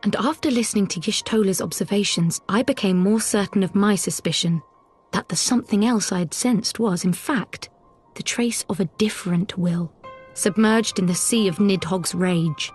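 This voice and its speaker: A woman's voice: mid-range voice, moderate pace, a little mellow, faint music behind, close to an everyday voice. A woman voicing a spirited, learned female scholar in a game cutscene, with formal, precise, schoolteacherly speech. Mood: measured, chiding. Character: small learned woman scholar, spirited, gently chiding mentor. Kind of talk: video game cutscene acting.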